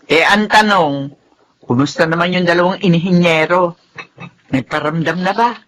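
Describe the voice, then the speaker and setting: A woman's voice: on the low side, a little slow, lightly acted. A woman acting a chatty, exclamatory, caring auntie in a Filipino radio drama, with faint noise behind. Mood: fussing, exclamatory. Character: chatty, exclamatory, caring older family friend.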